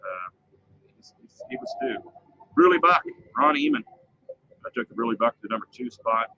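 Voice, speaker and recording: Lively man reading tractor-pull results. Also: mid-range voice, moderate pace, creaky, a little hiss or hum. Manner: lively, reads out lists of results. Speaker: co-host recapping pulling results. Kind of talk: casual live show chat. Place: outdoors at a state fair. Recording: slightly dull live stream sound with faint fair ambience.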